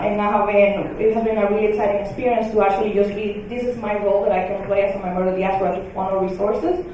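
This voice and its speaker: A woman's voice: mid-range voice, a little mellow, slight background noise. A young woman from the Dominican Republic, Spanish her first language, speaking earnestly on a conference panel. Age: young adult. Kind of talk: panel discussion. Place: conference hall. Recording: echoey hall, mic heard from a distance.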